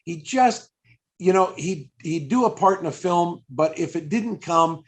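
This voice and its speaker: A man with a somewhat high-pitched voice interviews someone over a video call. He speaks conversationally and builds long questions, often halting and circling back as he thinks aloud. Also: expressive. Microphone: video call microphone.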